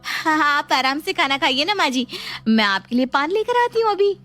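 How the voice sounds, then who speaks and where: Woman as a high-voiced young daughter-in-law. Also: animated, normal speed, bright, forceful tone, faint music behind. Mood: polite, pleading. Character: put-upon young daughter-in-law, polite yet scheming. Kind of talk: acted moral storytelling.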